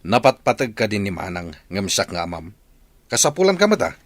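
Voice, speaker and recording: Demanding father, stern, rapid delivery, swinging pitch, radio-drama acting. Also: sing-song, no echo. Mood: angry, demanding. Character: stern, demanding father who objects to leaving.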